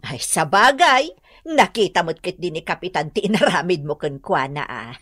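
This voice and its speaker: An actress playing a fussy, gossipy older auntie in a radio comedy, full of drawn-out exclamations, with an Ilocano accent. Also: female voice, lowish voice, expressive, a bit bright, breathy edge. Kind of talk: radio drama comedy acting.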